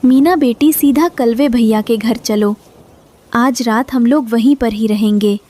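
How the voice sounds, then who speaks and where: A woman voicing a gentle, worried mother bird in a cartoon, speaking politely and earnestly. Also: a little high, a little slow. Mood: urgent, concerned. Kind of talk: Hindi cartoon dubbing.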